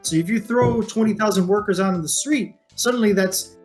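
A man's voice: high voice, fairly quick, darkish tone, faint music behind. A man in his thirties co-hosting a discussion, explaining things casually and informally with free use of swearing, recorded on a headset in a room. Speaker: co-host of a read-along book discussion show. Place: indoor room, remote video call.

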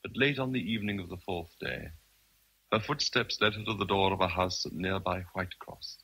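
A man giving measured, literary narration for a radio drama. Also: sits low, vocal fry, bright, forceful tone, husky, rough recording.

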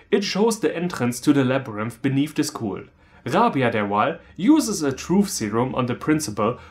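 Animated man with a German accent. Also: on the high side. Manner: sarcastic, theatrical. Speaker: film reviewer. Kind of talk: scripted comedic review narration. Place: small room indoors, on a couch.

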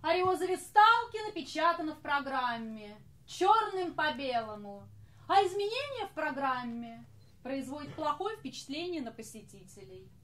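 Strict bossy orchestra lady played by a woman, high voice that swoops all over, very stagey. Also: sing-song, a bit bright. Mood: stern, scornful. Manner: imperious, theatrical, measured enunciation. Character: haughty, strict lady leader of a café orchestra. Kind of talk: theatrical stage acting. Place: theatre stage, indoors.

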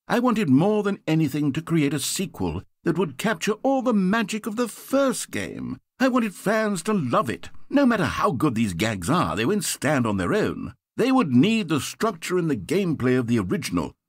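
An actor voicing a video game's narrator: a man with a polite, theatrical manner, breathy and fretful. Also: a little high, wildly swooping pitch, normal speed, few pauses, no echo, heavily acted. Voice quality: breathy, dry close-miked studio voice. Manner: polite, fretful, swinging between grandeur and self-doubt. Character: fussy, theatrical male narrator of a video game. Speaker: game narrator. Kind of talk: scripted game voice acting. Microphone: studio microphone.

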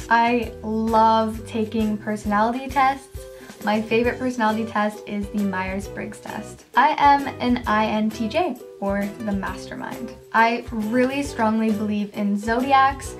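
A young woman of twenty talks straight into a close camera from her bedroom, her voice soft and quiet with a slightly high pitch. She chats casually, sprinkling in "like" and little laughs. Background music runs clearly under her voice. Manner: chatty, filler-heavy, laughs often. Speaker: beauty vlogger. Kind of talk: casual facts-about-me vlog. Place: bedroom, indoors, small. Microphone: camera at close range, facing it.

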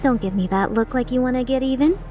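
A woman's voice: high voice, quick pace, mellow, soft background music, lightly acted. A woman voicing a cocky female mercenary leader in a video game fan dub, playful, sarcastic and taunting with an edge of menace. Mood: dismissive, amused.

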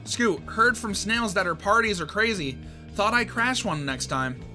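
A man's voice: sits high, expressive, measured pace, sharp tone, soft background music, voice pushed up, full character voice, poor audio. A man doing a brash, tomboyish filly. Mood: eager. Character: tomboyish young filly pony, bold and reckless.

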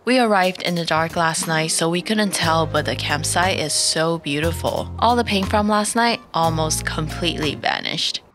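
A young adult woman calmly narrating her travel vlog in a scripted voice-over. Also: sits low, moderate pace, few pauses, music in the background. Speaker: vlog host.

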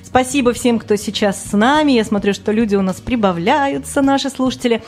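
A woman hosting a casual live radio interview, talking in an excited, flowing and self-deprecating way. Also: on the high side, fairly quick. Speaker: radio host.

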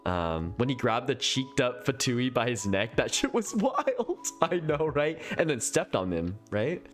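A man's voice: mid-range voice, a little slow. A man in his thirties giving casual, exclamatory live reactions as a streamer, on a close desk mic. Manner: excitable, exclamatory. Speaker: streamer reacting to a game trailer. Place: small room at home, indoors. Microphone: close desk microphone. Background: faint game trailer music.

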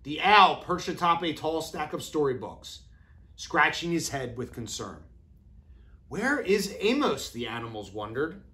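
A man in his thirties reading a children's storybook aloud with deliberate, expressive phrasing, as an educator does for young children. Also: on the high side. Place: classroom, indoors.

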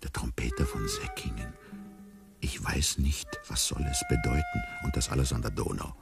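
An actor playing a bewildered amnesiac man in a radio play, musing inwardly in a hushed, wryly detached way. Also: very deep voice, huge pitch swings, normal speed, vocal fry, music in the background, exaggerated voice. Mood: wry unease. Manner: hushed, musing, often near whispering. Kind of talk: radio play inner monologue.

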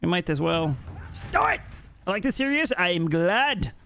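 A man's voice: high-pitched, very animated, normal speed, gravelly, bright, forceful tone, a little hiss or hum. A young man from Norway on a headset, chatting with his live-stream chat while playing, jokey and rambling. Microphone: headset mic.

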